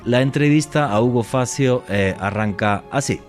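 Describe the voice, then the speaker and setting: A man's voice: mid-range voice, moderate pace, faint music behind. A man hosting a radio podcast, speaking in an earnest, opinionated and expansive way as he introduces the episode and his guest. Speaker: radio host.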